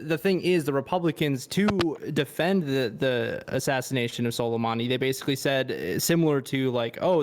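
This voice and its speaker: A man in an online debate, reasoning earnestly and filling pauses as he thinks. His pitch sits in the middle range. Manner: earnest, reasoning, many fillers. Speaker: debate guest. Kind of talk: political debate on a stream.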